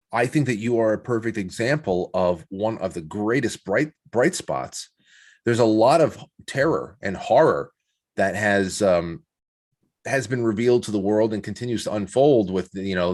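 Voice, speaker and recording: Low-pitched man, halting and reflective. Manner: halting, repeats words as he builds points. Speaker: podcast guest, show host. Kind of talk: reflective conversational interview.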